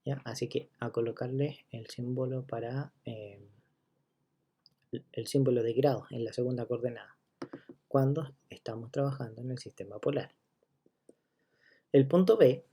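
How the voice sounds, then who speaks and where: Male math teacher, gravelly, mellow tone, methodical step-by-step explainer. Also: mid-range voice. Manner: walks through each step, checking in with listeners; counts items out loud one by one. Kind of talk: recorded geometry lesson.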